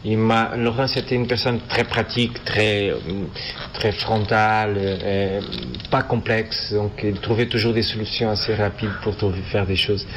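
A man from Portugal speaking with a Portuguese accent as an interview guest, halting and searching for words in a non-native language. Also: lowish voice, level tone. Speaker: interview guest, animation filmmaker. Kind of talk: casual interview answers. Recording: festival interview with background noise.

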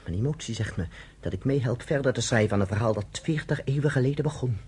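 A man's voice: mid-range voice, fast, darkish tone, husky. A man playing David, a fervent, stubborn underground fighter guiding a visitor through a camp, in a radio play. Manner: fervent, stubborn about his people's survival. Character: earnest male underground fighter David, middle-aged. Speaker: resistance leader guiding a visitor. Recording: noisy radio play recording.

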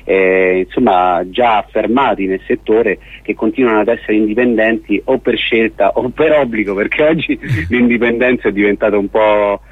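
A man from Rome, explaining an event as a radio guest in a halting, wordy way with frequent rephrasing. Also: on the low side, slightly rough, dry recording, narrow, tinny audio. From Rome, Italy. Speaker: festival organiser, radio guest.

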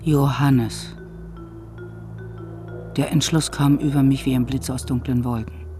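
An actor playing a grave, brooding medieval monk who recalls loss in a solemn, husky voice, as radio drama narration. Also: male voice, medium pitch, fairly even intonation, a little slow, over music, lightly acted.

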